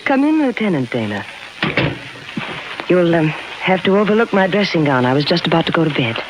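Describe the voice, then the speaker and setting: Coy femme fatale on noisy old radio. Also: female voice, lowish voice, very animated. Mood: coy, flirtatious. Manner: coy, poised, hesitant pauses. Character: cool, flirtatious femme fatale, wealthy brunette widow. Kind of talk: 1950s radio crime drama acting. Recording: noisy 1950s radio broadcast recording.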